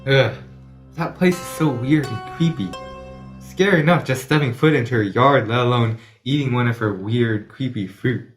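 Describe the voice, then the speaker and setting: A man's voice: mid-range voice, normal speed, faint music behind, close to an everyday voice. Man playing a cocky young dare champion. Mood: uneasy. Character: boastful, secretly nervous young boy, dare champion. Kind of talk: amateur audio drama acting.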